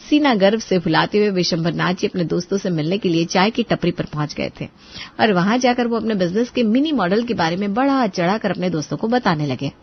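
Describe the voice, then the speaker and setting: A woman's voice: mid-range voice, animated, brisk, slightly rough, highs cut off. A woman narrator reading a scripted moral tale in storytelling style.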